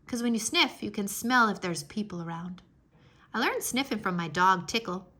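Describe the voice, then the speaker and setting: A woman reading a children's storybook aloud as narrator, expressively, with animated, childlike first-person storytelling. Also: medium pitch, moderate pace.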